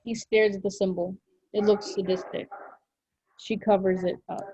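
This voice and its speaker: A woman reading scripted stage directions as narrator of a drama, in short, measured phrases. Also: mid-range voice, level tone, mellow, muffled recording, a little hiss or hum.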